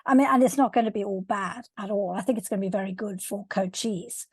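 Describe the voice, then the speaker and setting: An older woman speaks on a video call, hesitant and often pausing to search for her words. Her voice is dry and close, with no room echo around it. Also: mid-range voice, slightly rough. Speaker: coach and supervisor.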